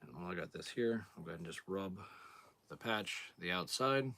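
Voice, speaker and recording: Low, hoarse man talking through a job. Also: a little fast, background noise. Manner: relaxed, plain-spoken, thinking aloud while working. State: hoarse, clearing throat. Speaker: outdoors channel host. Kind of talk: casual hands-on demonstration.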